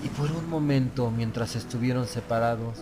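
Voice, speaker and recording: A man's voice: mid-range voice, moderate pace. Solemn male horror narrator over prominent music. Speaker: audiobook narrator. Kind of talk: scripted narration of a gothic horror tale, in the first person. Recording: music bed under the voice.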